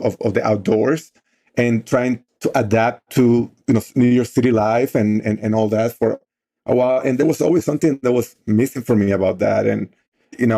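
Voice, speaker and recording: A man in his forties from the Dominican Republic with a Dominican Spanish accent, telling his story as an interview guest in an animated way. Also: mid-range voice. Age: 40s. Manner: animated, frequent restarts and laughs. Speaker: guest, mentorship program co-founder.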